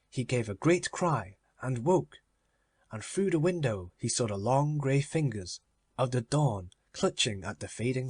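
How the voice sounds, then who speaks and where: A man reading a literary children's story as a measured audiobook narration. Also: medium pitch, breathy edge, dry recording.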